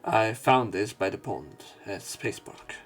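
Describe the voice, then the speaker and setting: A low-pitched man narrates a visual novel in a let's play. He reads in a halting way and breaks off for asides, with game music playing clearly behind him. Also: animated. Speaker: let's player reading a visual novel aloud. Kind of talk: narration and commentary over a game, reading aloud with asides.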